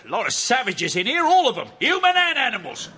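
An actor playing an indignant horse thief, whining and complaining in a shrill, aggrieved way in an audio drama. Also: male voice, huge pitch swings, fairly quick, pushed and bright, husky, full character voice.

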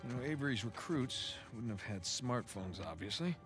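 Man playing wisecracking American adventurer, loud music behind. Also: medium pitch, animated, normal speed, lightly acted. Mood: excited. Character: wisecracking, excitable male treasure hunter in his thirties. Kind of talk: video game voice acting.

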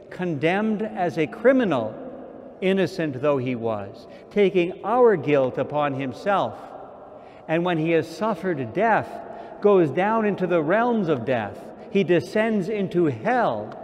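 A man in his sixties, an archbishop, preaching a sermon at a pulpit mic in a large church, slow and measured with repeated phrases. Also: a little high, a little mellow. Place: large church sanctuary, indoors. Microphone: stand mic at pulpit. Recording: long church reverb.

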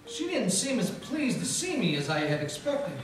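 Man, hardboiled detective narrator, deadpan wisecracks, radio play, echoey hall, distant mic. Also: high voice, normal speed. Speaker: narrator and lead actor. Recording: echoey hall from far off with music.

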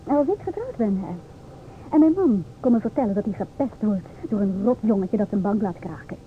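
An actress playing an outspoken, sharp-tongued woman in a Dutch radio play, with an assertive, mocking, theatrical delivery. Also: medium pitch, animated, fairly quick, natural voice. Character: outspoken, sharp-tongued divorced woman lecturing two inspectors. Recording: old radio, thin phone-like sound, noisy.

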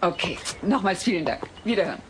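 A woman's voice: on the low side, expressive, fast talker, close to an everyday voice. An actress playing an earnest, no-nonsense middle-aged mother in a dubbed sitcom audio play. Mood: worried. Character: worried, no-nonsense suburban mother. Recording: noisy old cassette audio.

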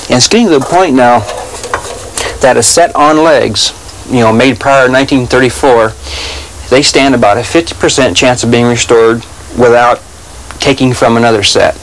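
A man's voice: medium pitch, normal speed, slight background noise, low-quality recording. A man, a restoration expert, explaining informally.

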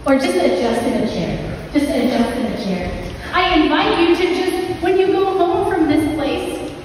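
Echoey, high-voiced woman preaching. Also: animated, measured pace. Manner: gentle invitations, repeats phrases for emphasis. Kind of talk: conversational, reflective sermon. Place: large church hall, indoors. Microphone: distant camera in the audience. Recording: echoey hall from far off, some noise.

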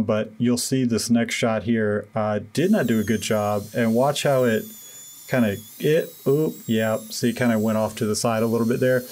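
A man in his thirties giving a relaxed, self-deprecating voice-over walkthrough of a home building project, with many fillers. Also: medium pitch. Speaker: DIY renovation vlogger.

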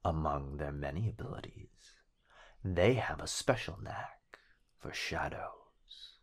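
Casting: male actor as a teasing, possessive shadow being, deep husky whisper, unhurried, for ASMR roleplay. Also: expressive, full character voice. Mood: teasing, sinister. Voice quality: breathy, whispery. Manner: drawn-out, menacingly sweet delivery. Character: possessive, teasing living shadow of a sorcerer boyfriend.

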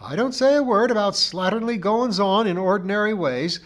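Man putting on an indignant, high-pitched rural English charwoman. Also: moderate pace, natural voice. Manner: declamatory, rhythmic, dropped g's, indignant. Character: belligerent, self-pitying village cleaning woman, grandly aggrieved.

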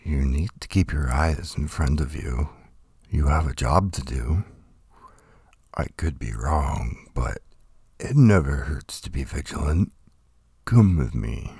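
A man's voice: rumbling low, lively intonation, takes it slowly, creaky, long pauses, mellow, husky, no room sound, studio quality, exaggerated voice. A man voicing a stern, protective, watchful guardian.

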